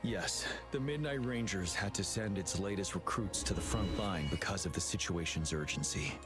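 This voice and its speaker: An actor playing a stern young male commander in a game, speaking in a calm, measured way. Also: sits low, natural voice. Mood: steady. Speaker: game character. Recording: game audio with loud music.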